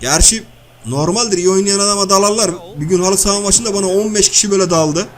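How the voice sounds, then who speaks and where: A man playing a loud, crude, bickering comedy everyman who nags in slangy repeats during cartoon-style video-game banter. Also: sits high, fast talker, natural voice. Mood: loud, outraged. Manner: slangy, blustering, nagging, repeats phrases. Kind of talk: comic video-game banter and match commentary.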